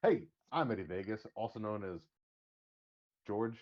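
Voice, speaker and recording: A man's voice: lowish voice, lively intonation, unhurried, lo-fi sound. A man hosting a casual chat show, rambling and joking with crude language. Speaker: podcast host.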